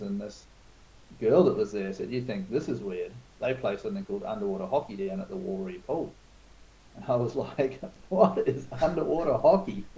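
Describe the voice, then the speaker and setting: A man in his forties from New Zealand speaks in a low, warm, mellow voice. He tells stories at a relaxed pace, candid and self-deprecating, as a guest in a casual chat. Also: slight background noise, low-quality recording. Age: 40s. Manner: laid-back storytelling, frank and self-mocking. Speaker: guest, club organizer.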